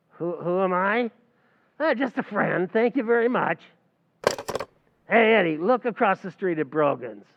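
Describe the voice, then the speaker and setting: A man playing a brash, scheming tavern manager in an old-time radio comedy recreation, wisecracking and mangling big words. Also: fairly high pitch. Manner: wisecracking, malaprop-prone, brash. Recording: tinny, phone-like recording.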